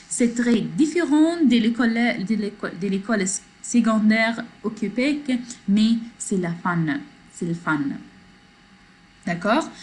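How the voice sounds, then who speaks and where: A woman teaching French in an online class, reading a text aloud and explaining it slowly, switching between French and English. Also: medium pitch, darkish tone. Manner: switches between French and English, slow, repeating phrases for learners. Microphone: computer mic in a video class.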